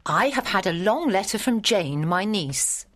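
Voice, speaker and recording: An actress playing a fond, garrulous aunt in a dramatised period audiobook. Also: female voice, medium pitch, expressive, unhurried, a bit bright, dry recording. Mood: chatty. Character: Miss Bates, chatty, fond Regency aunt.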